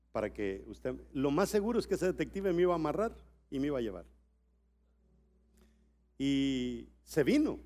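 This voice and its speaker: High-voiced Salvadoran preacher. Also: male voice. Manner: moves from quiet storytelling to shouted, rising exhortation. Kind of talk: sermon at a church conference.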